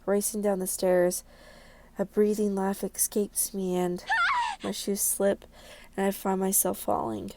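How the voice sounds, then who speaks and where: A woman's voice: medium pitch, little pitch movement, unhurried, warm tone. A young woman hosting a let's play, reading game narration aloud and commenting in an informal, reactive way. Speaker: gaming video host.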